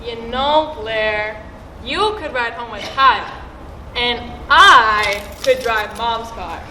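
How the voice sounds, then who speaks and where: A chatty, easily indignant schoolgirl acted by a woman in a school play. She sounds high and sharp on an echoey stage. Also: slow pace. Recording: echoey stage with faint noise.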